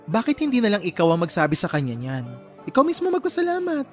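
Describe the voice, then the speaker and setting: A chatty, expressive young best friend, male. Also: high-pitched, quick pace, soft background music. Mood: teasing, coaxing. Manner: expressive, playful, coaxing. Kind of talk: Tagalog radio drama acting.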